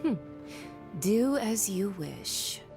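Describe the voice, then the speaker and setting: A woman's voice: mid-range voice, sing-song, drawn-out words, vocal fry, music in the background, exaggerated voice. An actress playing an elegant, condescending female villain in a video game, with a formal, superior manner. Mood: dismissive. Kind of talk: video game character voice acting.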